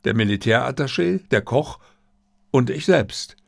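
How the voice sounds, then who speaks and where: Man voicing a formal Japanese diplomat, pitched up. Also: lively intonation, creaky, no room sound, studio quality. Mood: formal, explaining. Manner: courteous, measured, formally worded. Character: formal, discreet Japanese diplomat, secretly a security officer. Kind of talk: audiobook character dialogue.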